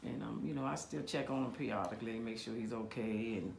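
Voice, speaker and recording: A woman's voice: very deep voice, fast talker, creaky, darkish tone, reverberant, a little hiss or hum, exaggerated voice. A woman playing a worried mother in her fifties in a role-played family therapy session, talking hesitantly and searching for words. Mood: earnest. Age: 50s. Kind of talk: improvised role play.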